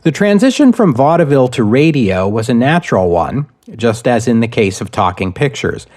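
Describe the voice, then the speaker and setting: A man hosting a history podcast, reading scripted narration in a measured, deliberate way with wry asides. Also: medium pitch, very animated, darkish tone. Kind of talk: scripted narration, storytelling lecture.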